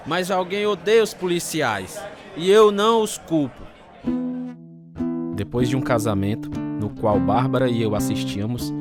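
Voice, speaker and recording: Guy acting bitter hot-tempered drunk husband telling his story, radio drama, loud plucked guitar behind. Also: on the low side, lightly acted. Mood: angry, defiant. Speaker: narrator and lead character of a radio drama. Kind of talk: dramatised first-person scripted narration.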